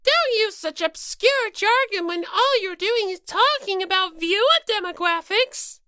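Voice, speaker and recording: Squeaky, indignant little rabbit mascot. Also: female voice, animated, measured pace, sharp tone, no echo, clean studio sound, heavily acted. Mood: suspicious, scolding. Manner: whiny, scolding, exclaiming. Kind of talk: dramatized audiobook character reading.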